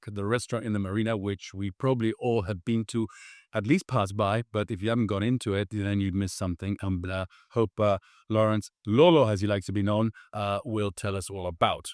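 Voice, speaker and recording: A man's voice: on the low side, dry recording, studio quality. A man hosting a podcast interview, talking casually and hesitantly with many fillers. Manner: hesitant, many ums and uhs, says okay often. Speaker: podcast host.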